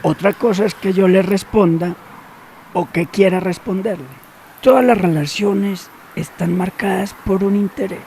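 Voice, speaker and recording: An actor playing a sly, scheming middle-aged fixer in a radio drama, teasing and bargaining slowly. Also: male voice, sits high, darkish tone, slight background noise. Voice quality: gravelly, knowing.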